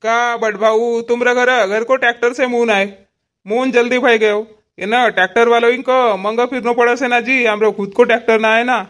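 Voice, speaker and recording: Man acting a very high-voiced, folksy village farmer. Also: steady tone, normal speed, fairly bright tone. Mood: modest, worrying. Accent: Powari. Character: modest, worrying village farmer and father. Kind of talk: cartoon comedy dialogue.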